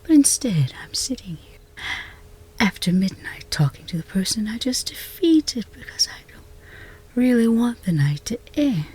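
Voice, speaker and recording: Woman acting a breathy, whispering, lovestruck chess rival. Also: on the high side, big pitch swings, mellow, exaggerated voice, rough recording. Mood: tender, hesitant. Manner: intimate, halting, teasing. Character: flustered lovestruck chess rival, wry, earnest, confessing. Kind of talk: romance roleplay ASMR.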